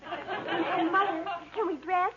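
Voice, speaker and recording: A woman playing an excitable, imploring teenage daughter in an old-time radio comedy. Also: very high voice, takes it slowly, over a noisy crowd. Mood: pleading, excitable. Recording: noisy, dull old radio recording.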